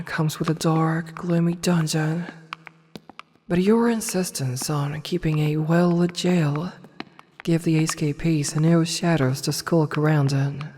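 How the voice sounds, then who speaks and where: Woman playing a low-voiced imperious evil empress. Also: darkish tone. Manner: haughty, formal, measured wording. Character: imperious evil empress, adult woman, softening toward her advisor. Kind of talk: ASMR fantasy audio roleplay, intimate scripted acting. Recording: long reverberant hall, faint noise.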